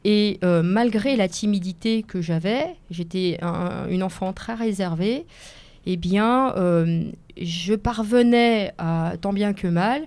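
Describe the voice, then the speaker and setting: Woman, 40s, native Italian speaker, radio guest, hesitant, lots of fillers, mellow voice, dry studio sound. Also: mid-range voice, takes it slowly, dry recording. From France, Seine-et-Marne. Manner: hesitant, many fillers and restarts, careful phrasing. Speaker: lawyer and author guest. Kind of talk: radio interview answers.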